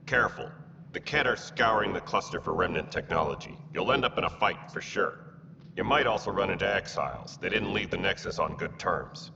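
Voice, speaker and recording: A man voicing a gruff, cautious alien security chief in a video game, dry in manner. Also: deep voice, lively intonation, fairly quick, full character voice. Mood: wary. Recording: distant, dull, reverberant, noisy game audio.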